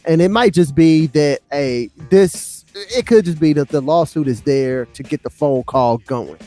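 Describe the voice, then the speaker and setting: A man's voice: on the high side, unhurried, warm tone, no room sound. Casual male podcaster over faint music. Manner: informal hip-hop slang. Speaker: podcast co-host. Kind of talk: casual chat.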